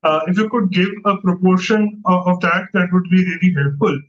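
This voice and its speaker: Man, analyst caller, high pitch, hesitant rambling questions, dull unclear line. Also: steady tone, normal speed, fairly bright tone. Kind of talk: questioning on an earnings call.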